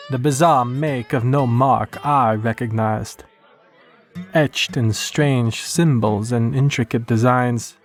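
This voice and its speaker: A man giving a scripted first-person western horror narration in measured, folksy storytelling phrases. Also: medium pitch. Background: plucked guitar music.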